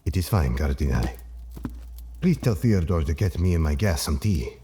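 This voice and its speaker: Deep, mellow, gentle old Pope, played by a man. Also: animated, moderate pace. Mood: welcoming. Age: older. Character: gentle, patient, good-humoured old Pope. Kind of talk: audio drama acting.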